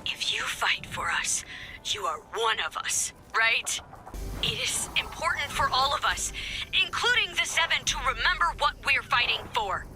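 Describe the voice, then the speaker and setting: A woman's voice: high voice, very animated, measured pace, over music, rough recording. A woman voicing a spirited, earnest and hopeful resistance leader in a video game, heartfelt and informal. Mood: earnest, rallying. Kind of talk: scripted game character dialogue.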